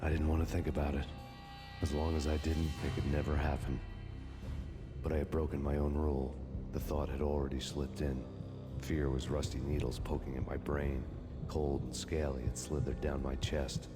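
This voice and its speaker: Very deep, deadpan, brooding noir cop. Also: male voice, moderate pace. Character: weary, bitter, sardonic vengeful cop narrating his downfall. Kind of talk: hardboiled noir voice-over narration. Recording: loud music underneath.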